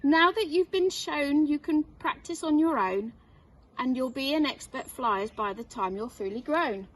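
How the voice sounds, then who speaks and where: A woman's voice: sits high, animated, measured pace. A woman voicing a commanding female dragon schoolteacher in a children's storybook, grand and instructive. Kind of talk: children's storybook read-aloud. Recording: distant mic, faint noise.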